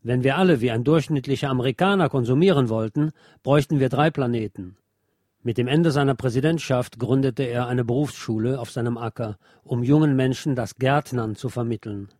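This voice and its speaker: Man reading measured narration, dry close sound. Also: medium pitch, a little mellow, no echo. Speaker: radio host. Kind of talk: read-aloud scripted obituary narration.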